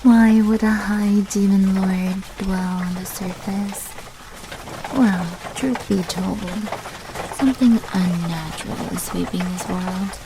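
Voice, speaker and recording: Woman doing a menacing vampire mafia lady, asmr roleplay, slow n whispery, noisy recording. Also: medium pitch. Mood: ominous, teasing. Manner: hushed, often dropping into whispers. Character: female vampire mafia manager, sly, menacing, flesh-eating. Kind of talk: ASMR roleplay, scripted character monologue. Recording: clearly noisy background.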